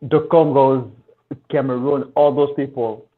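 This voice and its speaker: Panelist, male, from Central Africa; steady explainer, heard over a thin phone-quality call. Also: mid-range voice, unhurried. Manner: thoughtful, explanatory, restarts mid-phrase. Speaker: discussion panelist. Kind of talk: casual live-stream discussion. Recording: tinny phone-like line.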